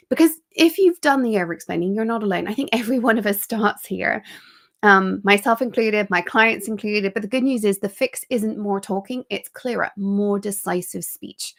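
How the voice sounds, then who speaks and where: A woman, an executive coach, giving an energetic, chatty live online training with quick asides and frequent ums, speaking into a computer mic on a video call. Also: mid-range voice, gravelly.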